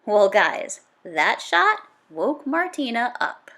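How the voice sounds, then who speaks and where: Female read-aloud narrator for children's nonfiction, slightly high pitch, very lively intonation, dramatic emphasis and punchy delivery. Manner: dramatic, emphatic delivery with punchy exclamations and drawn-out key words. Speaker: storybook read-aloud reader.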